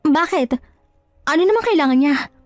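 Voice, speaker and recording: A woman's voice: high voice, animated, normal speed, darkish tone, no echo, soft background music, pitched above the performer's own voice. A woman playing a kind but wounded wife in an audio drama, speaking in a firm, questioning, emphatic way. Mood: startled. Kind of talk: Tagalog audio drama acting.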